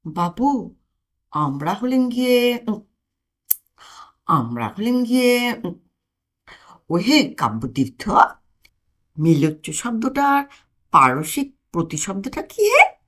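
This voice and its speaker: Woman playing old male scholar, timid, flustered, hesitant stammer, slow, swinging intonation, comic storybook. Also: medium pitch, big pitch swings, a bit bright, exaggerated voice. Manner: hesitant, halting stammer with fillers.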